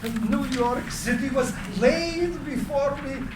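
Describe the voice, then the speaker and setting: An actor at a live script reading, playing an eccentric, boastful old inventor with a theatrical, blustering way of talking. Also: male voice, extremely high pitch, takes it slowly. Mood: nostalgic, boastful. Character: elderly Nikola Tesla, eccentric, boastful, excitable old inventor. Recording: noisy, roomy live reading.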